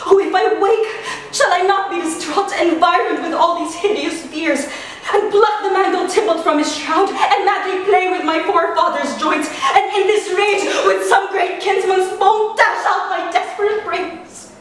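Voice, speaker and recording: A desperate young noblewoman, acted by a woman speaks a classical tragedy monologue in a high voice, fearful and dramatic. The sound is very reverberant and distant, with faint noise. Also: a little slow, long pauses. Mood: frantic. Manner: dramatic, swinging from hushed to frantic. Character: frightened, desperate young noblewoman dreading the tomb. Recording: very echoey room, distant mic, faint noise.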